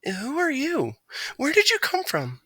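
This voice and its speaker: A woman's voice: sits high, wildly swooping pitch, a little slow, bright, forceful tone, no room sound, voice pushed up, full character voice. A woman voicing a tiny, polite male mouse boy who talks in an earnest, eager way for a storybook reading. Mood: concerned.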